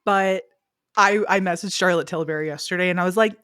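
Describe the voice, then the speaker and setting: A young woman co-hosts a casual podcast chat with her sister. Her voice sits a little low, and she rambles with constant fillers and restarts. The sound is dry and close, with no room echo. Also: fairly quick, fairly bright tone, clean tone. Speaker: podcast co-host.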